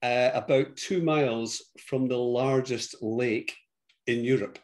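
A man's voice: medium pitch, steady tone, slow. Older Scottish man on a video call. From Scotland. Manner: warm storytelling, frequent yes and laughs. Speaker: guest. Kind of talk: casual interview chat. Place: home office.

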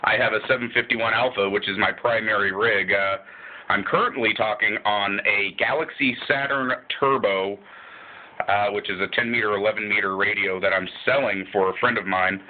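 A man talking as a ham radio operator, relaxed and easygoing, laughing now and then as he spells call signs phonetically. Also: lowish voice, normal speed, phone-quality sound. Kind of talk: radio contact chat.

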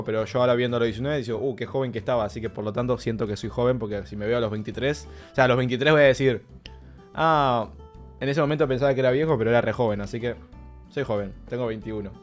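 A man in his twenties with an Argentine accent, chatting casually on a livestream from a small bedroom setup with a close boom mic. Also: steady tone, fast. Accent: Argentine Rioplatense Spanish. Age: 20s. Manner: chatty, jokey, reacting to his old clips. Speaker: streamer. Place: small bedroom streaming setup with blue lights. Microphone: boom mic close to his face. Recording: clean streaming mic with faint background music. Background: soft background music.

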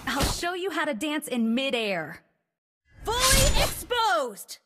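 A woman's voice: high-pitched, wildly swooping pitch, slow, very noisy, exaggerated voice. A woman voicing a cocky, agile young female assassin in a video game, teasing and playful in her lines.

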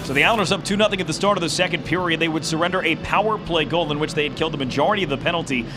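A man in his thirties hosting a post-game sports show, giving a fluent, brisk recap into a handheld mic. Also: a little high, over music. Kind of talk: broadcast sports recap, polished. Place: inside a large hockey arena. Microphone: handheld broadcast microphone.

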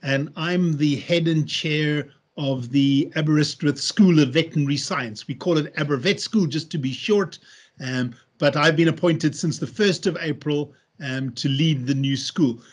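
A man's voice: a little high, measured pace. A middle-aged man with a South African accent, a veterinary school head, explaining steadily over a video call. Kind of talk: measured interview answers.

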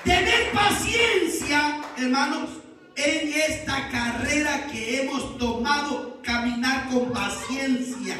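High-voiced preacher in an echoing hall. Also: male voice, crowd noise. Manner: call-and-response, sing-song drawn-out phrases. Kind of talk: sermon. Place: church hall. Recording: echoey church hall, distant mic.

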